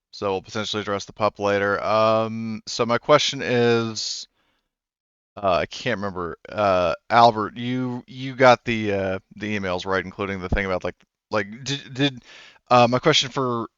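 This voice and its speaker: A man's voice: fairly low pitch, steady tone, moderate pace, fairly bright tone, clean tone, no echo, lo-fi sound. A man running a tabletop roleplaying game as game master, chatting casually in a rambling, conversational way.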